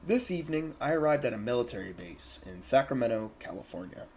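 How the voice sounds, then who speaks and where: Fast-talking, husky young American soldier played by a man. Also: mid-range voice, very animated. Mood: upbeat, sincere. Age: young adult. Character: eager twenty-year-old American soldier keeping a war journal. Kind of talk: scripted first-person journal narration.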